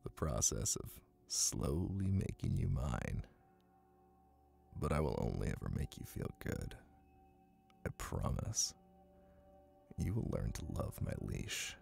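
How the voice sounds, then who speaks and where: Man acting a very deep, gravelly hypnotist boyfriend. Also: wildly swooping pitch, mellow, soft background music, full character voice. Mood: teasing, amused, then reassuring, possessive. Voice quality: gravelly, breathy. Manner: slow, coaxing, teasing. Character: playful, dominant male hypnotist boyfriend, smug and soothing. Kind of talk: intimate ASMR roleplay.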